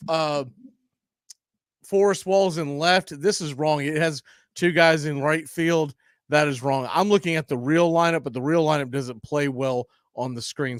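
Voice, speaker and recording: A man's voice: on the high side, smooth, dry recording, studio quality. An American man giving casual, rambling live pregame baseball commentary as the host of a stream. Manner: informal, conversational, rambling.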